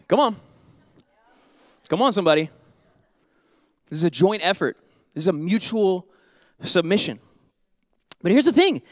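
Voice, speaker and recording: A pastor in his thirties preaches into a handheld mic with a high, bright-sitting voice. He jokes animatedly, then sinks into soft, earnest passages. The sound is dry and close, with little room echo. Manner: animated, jokey, shifts to soft earnest tones. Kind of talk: sermon. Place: church stage, indoors.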